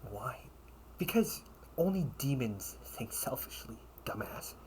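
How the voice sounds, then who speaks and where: A man playing a loyal knight comrade, earnest and encouraging, in an intimate dramatic audio roleplay with halting, breathy phrasing. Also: medium pitch, sing-song, a little hiss or hum, low-quality recording. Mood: urgent, chiding. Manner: slow, halting delivery with breathy, hushed phrases.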